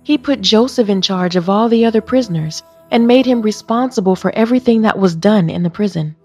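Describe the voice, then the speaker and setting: A woman with a husky voice narrates scripture in a scripted storytelling style. Her pitch sits in the middle of the female range, and soft music plays behind her. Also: fairly quick. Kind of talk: scripted Bible reading, expressive storytelling.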